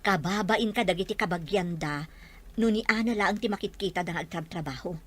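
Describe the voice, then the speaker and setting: A woman's voice: lowish voice, brisk, husky. A woman playing a strict, disapproving mother in a radio drama. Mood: scolding. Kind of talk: Filipino radio drama acting.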